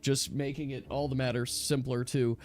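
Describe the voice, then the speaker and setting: A man running a tabletop game, explaining rules casually in a chatty, halting way. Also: medium pitch, level tone, darkish tone. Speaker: game master.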